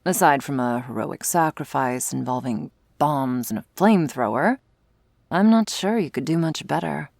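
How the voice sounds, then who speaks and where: A woman playing a sardonic, predatory vampire with a secret wistful side, in an intimate close-miked ASMR roleplay, wry and teasing, sometimes slipping into a breathy whisper. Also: sits low, expressive, normal speed, darkish tone, dry recording, heavily acted. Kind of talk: intimate ASMR roleplay, scripted monologue to listener. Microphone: close, dry studio mic.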